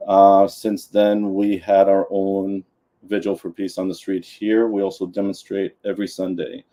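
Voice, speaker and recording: A man speaking at a community gathering, his voice very low and dark. He delivers the talk in a flat, even tone. He pauses often and restarts his sentences. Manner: hesitant, many ums and restarts. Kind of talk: public talk at a community event.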